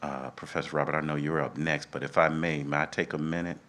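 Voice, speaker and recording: Extremely deep-voiced middle-aged man hosting. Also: steady tone, fast, fairly bright tone. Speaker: event host. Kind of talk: informal emceeing at a live event. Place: live college event. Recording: live event with faint background noise.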